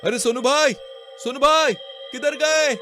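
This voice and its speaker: A man voicing a nervous, placating young driver in an animated horror-comedy story. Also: extremely high pitch, unhurried, faint music behind, pitched above the performer's own voice, full character voice. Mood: frightened.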